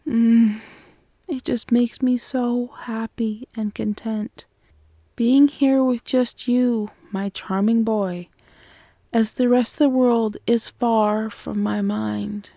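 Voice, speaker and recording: A woman playing a loving, playful girlfriend in an ASMR roleplay, speaking in a soft, cooing, teasing way. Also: a little high, vocal fry, no echo, clean studio sound, heavily acted. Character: loving, playful, affectionate girlfriend waking her partner.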